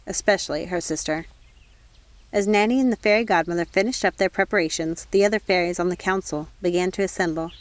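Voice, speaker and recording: A woman reading a story aloud as scripted audiobook narration. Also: mid-range voice. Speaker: audiobook reader.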